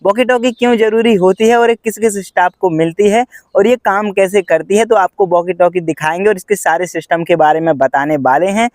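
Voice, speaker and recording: A man's voice: high-pitched, a little fast, few pauses, no room sound, clean studio sound. A man giving a plain, step-by-step explainer to viewers as a vlog host. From India. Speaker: host, railway pointsman vlogger.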